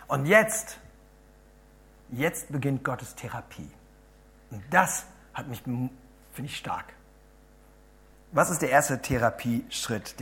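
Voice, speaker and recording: A hoarse man giving an animated sermon, chatty and asking the audience questions, swinging between loud bursts and low asides. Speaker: preacher. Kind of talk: lively sermon to a congregation.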